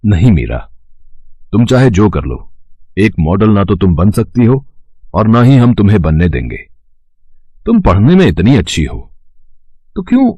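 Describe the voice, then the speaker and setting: A man playing a stern, protective middle-aged father in an animated moral story, firm and admonishing. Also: on the low side, normal speed, long pauses, husky, dry recording, exaggerated voice.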